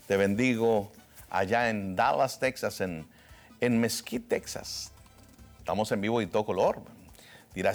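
A man, preaching a fervent televised sermon that swings from soft to loud and emphatic. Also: medium pitch. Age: 60s. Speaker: pastor.

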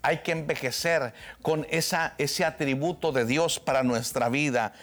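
A man, preaching a fervent televised sermon that swings from soft to loud and emphatic. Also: a little high, fairly even intonation, unhurried. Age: 60s. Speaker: pastor.

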